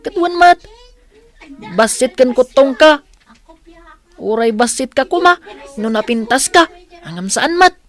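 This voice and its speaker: A woman's voice: high voice, expressive, measured pace, pauses often. A woman playing a mocking, jeering child bully at school in a radio drama.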